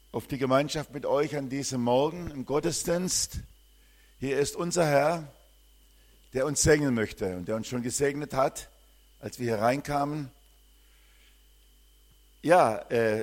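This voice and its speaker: Older German missionary, rambling testimony. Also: male voice, on the high side, unhurried, long pauses. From southern Germany. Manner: warm, rambling, with frequent hesitations. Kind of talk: church greeting and personal testimony.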